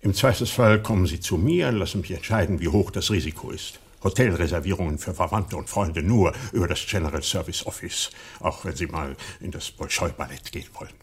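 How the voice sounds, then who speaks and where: A man in a radio play acting a dry, officious embassy security man who briefs with halting formality, in a husky voice. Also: low voice.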